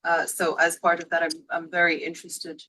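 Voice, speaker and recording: A woman introducing herself at a policy workshop, halting with many fillers. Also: fairly low pitch, monotone, sharp tone, poor audio. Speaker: panelist. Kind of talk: conference self-introduction.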